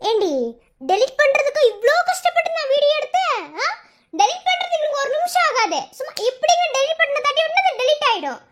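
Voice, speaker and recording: A man's voice: very high-pitched, very animated, moderate pace, pushed and bright, full character voice. A man playing a spurned, vengeful young student in a melodrama, taunting and menacing with sneering questions. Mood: mocking threat. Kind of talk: melodramatic audio drama villain acting.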